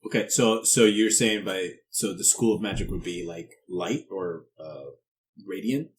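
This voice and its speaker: A man's voice: low-pitched, unhurried, slight background noise, poor audio. A man chatting casually as a player at a tabletop game, questioning and a bit flippant.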